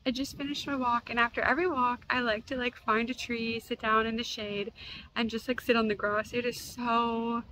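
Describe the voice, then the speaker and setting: Chatty young woman vlogging, bright high voice. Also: normal speed, music in the background, rough recording. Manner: relaxed, chatty, heavy use of "like". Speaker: lifestyle vlogger. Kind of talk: casual vlog narration. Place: outdoors in strong wind, then at home.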